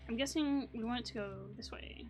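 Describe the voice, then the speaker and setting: A woman's voice: on the high side, a little fast, a little mellow. A woman hosting a gaming video, thinking aloud in casual commentary with playful asides. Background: game music.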